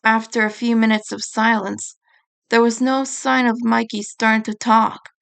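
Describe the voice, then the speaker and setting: A woman's voice: fairly high pitch, level tone, normal speed, creaky, a bit bright, clear, resonant tone. A woman reading a piece of fan fiction aloud as scripted story narration.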